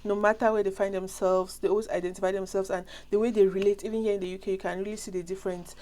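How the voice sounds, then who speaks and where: A woman's voice: mid-range voice, level tone, rapid-fire, mellow. A young woman with a Cameroonian accent who has a flu, chatting casually and ramblingly as she addresses her viewers directly. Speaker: vlogger.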